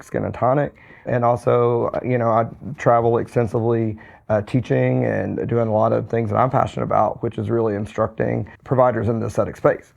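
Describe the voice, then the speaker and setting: A hoarse man recovering from a cold, co-hosting a podcast in a relaxed, rambling way. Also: mid-range voice. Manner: relaxed, rambling with many fillers and restarts. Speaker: podcast co-host, physician. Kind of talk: casual podcast chat. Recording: slightly dull lo-fi sound.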